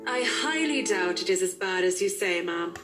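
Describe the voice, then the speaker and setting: A woman's voice: fairly low pitch, expressive, normal speed, close to an everyday voice. A woman voicing a courteous, composed interior designer in a visual novel game, speaking as a reassuring professional. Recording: loud music behind the voice.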